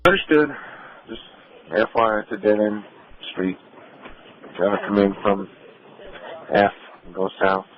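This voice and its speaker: A man's voice: lowish voice, measured pace, long pauses. A man working as a dispatcher, relaying addresses and codes in procedural style over a police two-way radio. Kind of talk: live emergency radio dispatch. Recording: muffled police radio transmission.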